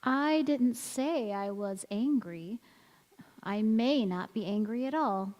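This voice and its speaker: A woman playing a strange, self-possessed young woman in a radio drama, speaking earnestly and deliberately. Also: fairly high pitch, a little mellow. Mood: coolly enigmatic. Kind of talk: stage radio drama acting. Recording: echoey church hall.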